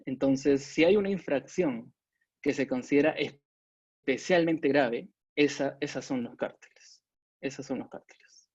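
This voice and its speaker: A man giving an informal expert lecture as a webinar presenter, speaking haltingly with frequent pauses over a video call microphone. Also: mid-range voice, darkish tone, husky, no room sound. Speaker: webinar presenter, competition agency adviser. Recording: dull compressed webinar audio.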